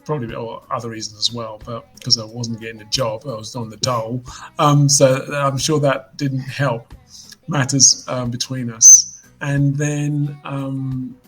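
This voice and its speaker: A man in his fifties talks through his memories in a slightly husky, mid-range voice. He speaks reflectively, pausing and restarting as he goes. Faint music sits underneath the interview. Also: mid-range voice, steady tone, rough recording. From United Kingdom. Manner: reflective storyteller, hesitant restarts. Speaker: musician guest. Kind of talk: casual interview chat.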